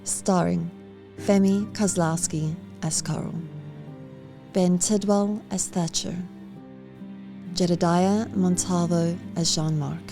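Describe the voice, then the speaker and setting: A woman's voice: lowish voice, steady tone, slow pace, pauses often. A woman reading scripted end credits as the announcer of an audio drama. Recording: prominent music behind the voice.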